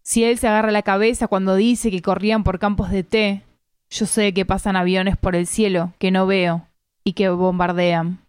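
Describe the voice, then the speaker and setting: A woman from Argentina with an Argentine Spanish accent reads a literary first-person story aloud in measured, deliberate phrasing. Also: medium pitch, little pitch movement, clear, resonant tone, no room sound, clean studio sound. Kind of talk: literary narration, read aloud.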